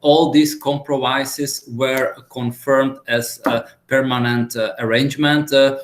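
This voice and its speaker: A man from the Czech Republic talks through a webinar presentation over a video call. He is relaxed and chatty, with lots of filler pauses, and his voice sits at a middle pitch. The room around him rings with echo. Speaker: guest speaker.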